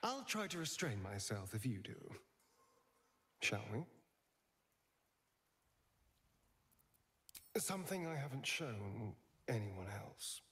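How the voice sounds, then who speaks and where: A man's voice: medium pitch, big pitch swings, unhurried, a bit bright, breathy edge, heavily acted. An actor playing a charming, wounded male vampire spawn in a video game, with a theatrical, drawling, wry delivery. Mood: wry, teasing.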